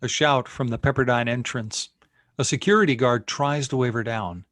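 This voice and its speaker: A man's voice: mid-range voice, moderate pace, a bit bright, dry recording, studio quality. A man reading out scene headings and stage directions as narrator of a screenplay.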